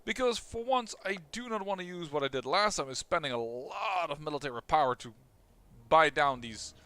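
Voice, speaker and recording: Excitable Dutch man commentating a game. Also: a little high, clear, resonant tone, no room sound. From Netherlands. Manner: excitable, talks quickly with restarts. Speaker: let's play host.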